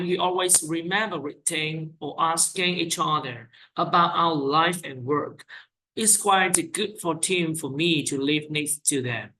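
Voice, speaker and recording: An IELTS teacher reading sample speaking-test answers aloud, with quick self-corrections. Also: medium pitch, bright, forceful tone, slight background noise, poor audio. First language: Vietnamese. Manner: reads out questions and answers, corrects own slips with "sorry".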